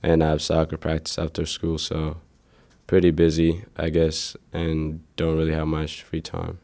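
A young man from Ethiopia, a native Amharic speaker, answering interview questions in a hesitant, plain way with many pauses. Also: extremely low pitch, completely flat delivery, gravelly, dark tone. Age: teens to young adult.

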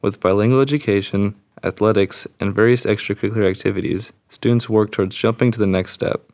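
A man's voice: fairly low pitch, steady tone, fast talker, creaky, darkish tone. A young man co-hosting a student podcast, reading informative narration from a script.

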